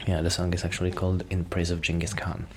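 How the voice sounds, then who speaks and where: A soft-spoken man rambling casually in a shy, hesitant, apologetic way, in the style of an ASMR friend roleplay. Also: deep voice, monotone, quick pace. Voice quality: soft-spoken, sometimes dropping toward a whisper. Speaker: ASMR roleplay performer playing a musician friend. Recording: clear background noise.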